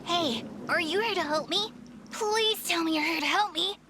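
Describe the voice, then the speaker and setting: A woman's voice: extremely high pitch, moderate pace, noisy background. A woman voicing a frightened, polite young rabbit boy in a video game. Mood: anxious. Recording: game sounds behind the voice.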